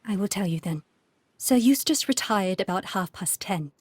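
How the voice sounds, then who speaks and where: A woman's voice: medium pitch, lively intonation, moderate pace, breathy edge, no echo. A woman voicing an unhappy aristocratic Victorian widow with a formal, refined, plaintive manner in a video game. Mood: shaken, recounting. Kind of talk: video game cutscene acting.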